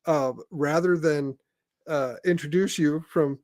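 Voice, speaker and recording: An American man from Indiana hosting a casual podcast interview in a halting, rambling way. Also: on the high side, fairly even intonation, a little mellow, no echo, studio quality. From Indiana, United States. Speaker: podcast host.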